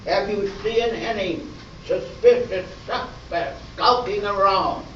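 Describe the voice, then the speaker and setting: Deadpan police sergeant for a radio spoof male actor, high-set voice, slow official delivery, recorded distant in a hall. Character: deadpan male police sergeant, old detective-show parody. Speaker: amateur radio play actor. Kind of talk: parody of old radio police drama. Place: live stage performance in a hall. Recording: echoey, distant, dull, noisy live stage recording.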